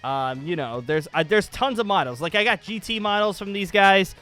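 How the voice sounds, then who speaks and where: A man giving a casual gaming channel update as host, rambling along in an excitable, emphatic way. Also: sits high, normal speed. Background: background music.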